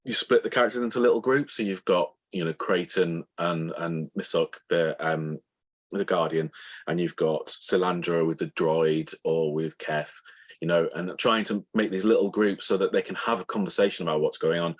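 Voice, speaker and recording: Low-pitched, husky man explaining his craft. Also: steady tone, moderate pace. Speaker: guest author. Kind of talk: conversational interview answers.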